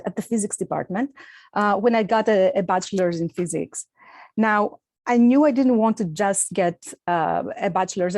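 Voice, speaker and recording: Greek-accented woman, middle-aged, mid pitch, earnest presenter, dry close mic. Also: darkish tone, no echo. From Greece. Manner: earnest, with frequent uh and um fillers. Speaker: astronomer giving a talk. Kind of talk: presented talk with slides.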